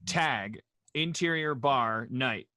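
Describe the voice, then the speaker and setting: A man's voice: medium pitch, takes it slowly, a crowd in the background. A man reading out script stage directions at a table read over a video call.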